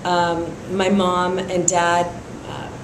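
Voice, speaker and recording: A woman's voice: on the low side, little pitch movement, takes it slowly, echoey room, background noise. A middle-aged woman from Iran, raised in San Francisco, speaking steadily as a district attorney candidate in an interview, with frequent fillers. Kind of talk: endorsement interview.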